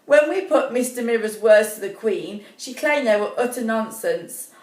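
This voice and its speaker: A woman reading a children's story aloud expressively and deliberately, as a school storyteller. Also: on the high side, animated, a little mellow. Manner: deliberate, prompts young listeners with questions. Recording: echoey room, distant microphone.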